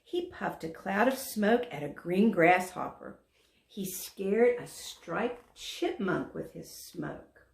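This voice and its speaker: Unhurried, expressive woman reading a storybook. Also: medium pitch, gravelly. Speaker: volunteer story reader. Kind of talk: children's read-aloud narration. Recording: roomy echo.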